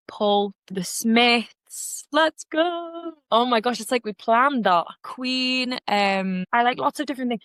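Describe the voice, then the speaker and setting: Young woman, Geordie accent, chatty, restarts a lot, mid pitch, dry close recording. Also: fairly bright tone, clean tone, no echo. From Gateshead, North East England. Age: young adult. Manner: chatty, full of fillers and restarts. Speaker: podcast guest. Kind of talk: casual interview chat.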